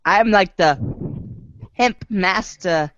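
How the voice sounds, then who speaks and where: A man's voice: high voice, very slow, a bit bright, dry recording, lo-fi sound, exaggerated voice. An amateur actor, a man, playing a sassy, slangy teenage boy as a drawling valley girl, in a reader's theater recording. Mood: smug.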